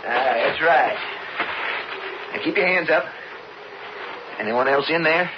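An actor playing a scheming older brother turned stage robber in a radio western, with a folksy, persuasive American Western drawl. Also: male voice, on the high side, slow. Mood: menacing. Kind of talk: radio western drama acting. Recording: muffled, noisy old radio broadcast.

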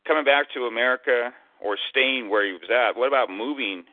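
A man's voice: mid-range voice, fairly quick, no echo. A man hosting a chat in a curious way, asking lots of questions. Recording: dry narrow phone line.

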